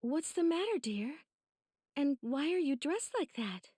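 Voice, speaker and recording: Gentle, high-voiced anime mother. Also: very animated, measured pace, dry recording, clean studio sound. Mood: gentle concern. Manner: gentle, solicitous. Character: gentle, caring mother of a rural family. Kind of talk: anime game voice acting.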